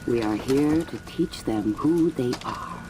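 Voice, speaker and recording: An actress playing a calculating, ruthless warlord in a video game cutscene, speaking in a measured, commanding way. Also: female voice, a bass voice, animated, background noise, full character voice. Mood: calm, commanding. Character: cold, calculating female warlord, a ruthless ideologue.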